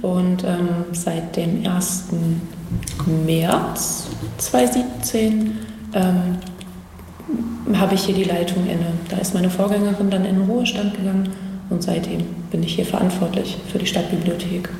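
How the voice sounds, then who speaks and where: A woman's voice: fairly low pitch, steady tone, unhurried, creaky, warm tone. A woman giving a matter-of-fact, slightly hesitant interview answer as a library head. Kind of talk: spontaneous interview talk. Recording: heavy echo, hall reverb, faint noise.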